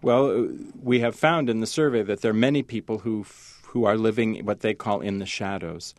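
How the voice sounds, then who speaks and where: A man with a low voice is interviewed on the radio, sounding close and dry. He explains things haltingly, with many restarts and fillers as he goes. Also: dry recording. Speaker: journalist guest.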